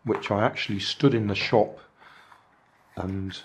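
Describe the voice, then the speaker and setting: Chatty older grandad, lowish voice. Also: male voice, fairly even intonation, measured pace, a little hiss or hum. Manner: dry, chatty, musing asides. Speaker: vlog host reviewing charity shop finds. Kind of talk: casual show-and-tell narration. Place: indoors at a table, hands on camera.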